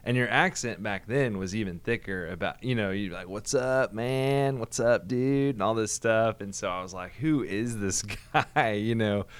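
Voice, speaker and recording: A young pastor from Oklahoma talks in an easygoing, friendly way, often chuckling. His voice sits very low, and it comes through smooth and clear. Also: moderate pace, few pauses. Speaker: church hospitality pastor. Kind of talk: casual interview chat.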